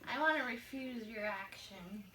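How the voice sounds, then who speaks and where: A woman's voice: on the high side, measured pace, bright, forceful tone. A woman playing a board game for the first time, casually asking short questions about the rules. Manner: curious, asks short questions. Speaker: player learning the game. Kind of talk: casual chat while playing. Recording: roomy room, faint hiss.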